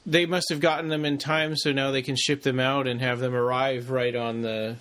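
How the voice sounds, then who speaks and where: A man's voice: mid-range voice, flat delivery, moderate pace, fairly bright tone. A man co-hosting a podcast in loose, casual conversation. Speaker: podcast co-host.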